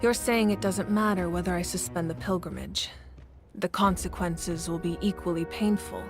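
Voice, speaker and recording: A woman playing a composed, authoritative war deity and leader in a video game, speaking in a measured, serious way. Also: lowish voice, natural voice. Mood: composed, grave. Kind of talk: video game character acting. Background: music.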